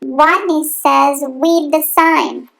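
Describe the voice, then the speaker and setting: A tiny, timid boy rat from a storybook, played by a woman, squeaky and high. He speaks slowly and turns his Rs into Ws. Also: fairly even intonation, vocal fry, fairly bright tone, exaggerated voice, poor audio. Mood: timid, trembling. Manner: says W in place of R. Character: tiny timid young male rat with speech impediment. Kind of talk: children's story read aloud.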